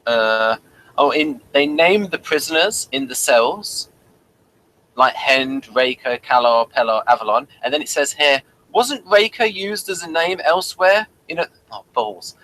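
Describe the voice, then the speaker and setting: A man with a bright, forward voice and a middling pitch presents a review in a conversational way. He reads from notes and drops in dry, wry remarks. A faint noise sits behind him in what sounds like a home recording. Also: lively intonation, a little slow, rough recording. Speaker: review show host. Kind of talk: conversational TV episode review, reading notes aloud.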